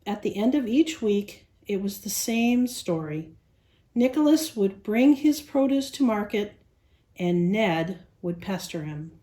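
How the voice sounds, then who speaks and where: A woman storyteller reading a picture book aloud to young children in an expressive style. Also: medium pitch, unhurried.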